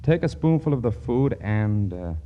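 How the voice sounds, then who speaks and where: Actor as a pompous, animated store boss, 1940s radio. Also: male voice, mid-range voice, normal speed, muffled recording, lightly acted. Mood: earnest, fussy. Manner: blustering, lecturing, stammers when flustered. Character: pompous, earnest department store boss, fussy about instructions. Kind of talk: 1940s radio comedy acting. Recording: noisy old 1940s radio broadcast.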